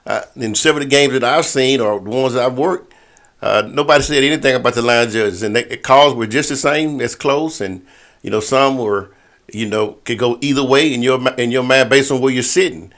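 A man with a mid-pitched voice speaks as the organizer on a group call, briefing officials informally. He often repeats himself and circles back to the same stock phrases as he explains. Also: brisk, fairly bright tone. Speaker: officials' assigner leading a conference call. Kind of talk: informal briefing to volleyball referees.

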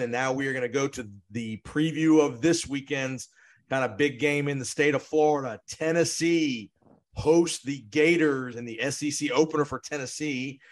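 A man hosting a sports podcast, interviewing guests in an energetic, quick manner, often repeating words. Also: mid-range voice.

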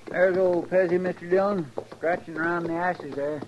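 A man's voice: sits high, fairly even intonation, moderate pace, natural voice. An actor playing a lawman's folksy, plain-spoken, easily indignant rustic sidekick in an old radio western. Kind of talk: 1950s radio western acting. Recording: dull, noisy old radio recording.

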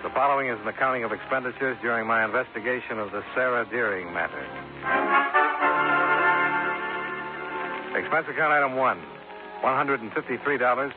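An actor playing a wry, businesslike insurance investigator in a 1950s radio detective drama, with a clipped, dry delivery. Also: male voice, medium pitch, quick pace, over music, natural voice. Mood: brisk narration. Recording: noisy, tinny 1950s radio broadcast.

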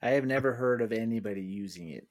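A man's voice: medium pitch, fast. A man, a fighter pilot in a casual podcast chat, talking in a wry, laid-back way.

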